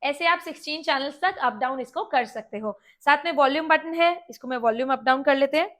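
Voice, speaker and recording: A woman's voice: high voice, a little fast, a bit bright. A woman with an Indian accent presenting a product demonstration in a conversational, explanatory way, mixing in English words. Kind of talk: walkie-talkie review with demonstration.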